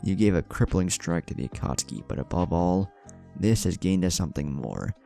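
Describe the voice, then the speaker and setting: Man voicing a stern, scheming older shinobi, deep. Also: animated, a little fast, gravelly, warm tone. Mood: imposing. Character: stern, scheming older male shinobi leader, stoic, power-hungry. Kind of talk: fan fiction audiobook dialogue. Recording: music under the voice.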